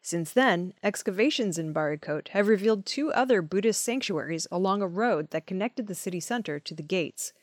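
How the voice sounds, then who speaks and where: A woman's voice: medium pitch, lively intonation, brisk, hardly any pauses, no echo, clean studio sound. A woman reading scripted news stories as the presenter of an audio news program. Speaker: news reader.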